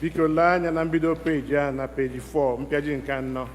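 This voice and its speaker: A man's voice: fairly high pitch, steady tone, fairly quick. A man with an Igbo accent leading a church service, calling out page numbers in Igbo and English. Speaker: worship leader. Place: church sanctuary. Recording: reverberant church hall with loud background noise.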